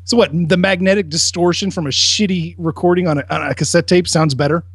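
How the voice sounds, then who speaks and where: A man's voice: sits high, fairly even intonation, brisk, slightly rough, no echo, studio quality. A man co-hosting a podcast in casual banter, with a blunt, sarcastic and mock-incredulous way of talking. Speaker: podcast co-host.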